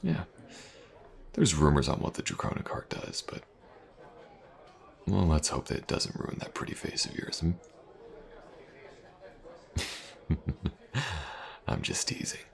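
A man playing a tough, teasing fantasy mercenary in an audio roleplay, speaking in an unhurried, gravelly murmur with pauses. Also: wildly swooping pitch, exaggerated voice. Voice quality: gravelly, breathy low murmur. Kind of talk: immersive fantasy audio roleplay, intimate improvised monologue.

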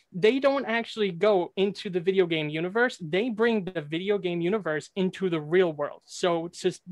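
Young male debater, high-pitched, cocky trash talk, dry close mic. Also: no echo. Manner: brash, trash-talking, rapid-fire points. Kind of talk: competitive debate argument.

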